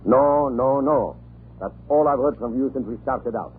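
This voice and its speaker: Guy doing a chatty irreverent russian official, excitable and emphatic, mid voice, tinny old radio drama sound. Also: medium pitch, a little slow, close to an everyday voice. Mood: jovial, chatty. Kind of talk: 1940s radio drama acting. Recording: muffled, noisy old radio recording.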